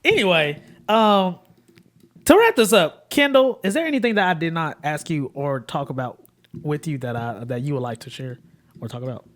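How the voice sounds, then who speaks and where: A man hosting a casual podcast, animated and quick to laugh. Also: on the high side. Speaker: podcast host.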